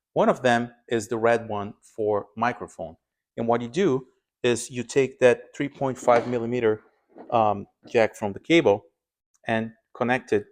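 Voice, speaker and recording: A man hosting an aviation vlog, explaining his equipment in a casual, conversational way. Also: medium pitch. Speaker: aviation vlog host and pilot. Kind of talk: casual explanatory gear walkthrough to camera.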